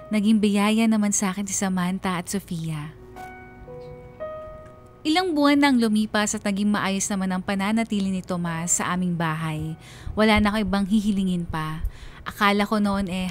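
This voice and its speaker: A woman's voice: mid-range voice, lightly acted. A woman playing a sorrowful, devoted mother narrating her life story in a radio drama. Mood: reflective. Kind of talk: scripted first-person radio drama narration. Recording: music bed behind the voice.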